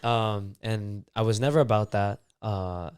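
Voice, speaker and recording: A man's voice: on the low side, measured pace, smooth, no room sound, studio quality. A young man from Canada co-hosting a podcast, talking loosely with lots of fillers and casual swearing. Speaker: podcast co-host. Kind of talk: casual chat.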